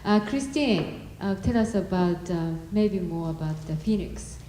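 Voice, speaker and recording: A woman's voice: fairly low pitch, unhurried, warm tone, a little hiss or hum. A woman hosting a gathering, introducing guests in a loose, informal way and laughing now and then. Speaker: host of the event. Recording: echoey room.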